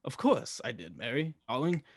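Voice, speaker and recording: A man's voice: mid-range voice, big pitch swings, moderate pace, vocal fry, no room sound. Earnest, proud young bridegroom played by a man. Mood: earnest, reassuring. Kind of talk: radio drama acting.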